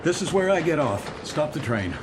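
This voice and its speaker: A man's voice: on the high side, sing-song, moderate pace, noisy background. An American actor playing a weary, distracted middle-aged commuter in a radio drama, polite and hesitant as he speaks. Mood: relieved. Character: weary, distracted married male commuter, wistful and evasive.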